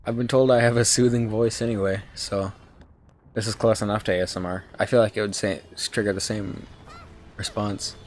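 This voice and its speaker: A young man streaming a video game, talking to his chat in a soft whisper with mouth noises, his throat sore from whispering. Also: fairly low pitch, steady tone, normal speed, slight background noise. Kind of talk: casual gaming commentary, trying ASMR-style whispering.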